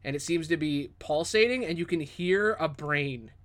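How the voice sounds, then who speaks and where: Higher-pitched man narrating as game master. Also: moderate pace, heavy background noise. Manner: informal, sweary, vivid descriptions. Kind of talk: casual improvised narration of a tabletop game.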